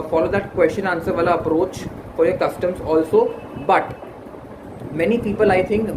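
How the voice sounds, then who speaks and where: A young man with an Indian English accent talks through exam strategy in an earnest, halting way, stumbling over fillers and restarting his sentences. He has a fairly high voice, and his first language is Hindi. The recording carries a roomy echo and clear background noise. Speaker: exam-prep YouTuber. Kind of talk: casual study-advice talk to camera. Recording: echoey room with background noise.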